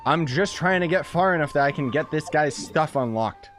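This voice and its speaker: A young man giving casual, joking let's-play commentary with blunt, quick reactions. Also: on the high side, animated, fairly bright tone, faint music behind. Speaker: gaming streamer.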